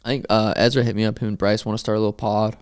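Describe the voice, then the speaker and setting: A man's voice: on the low side, little pitch movement, rapid-fire, clear, resonant tone. A young man telling stories in a loose, swearing podcast chat, laughing often as he co-hosts. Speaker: podcast co-host, fighter.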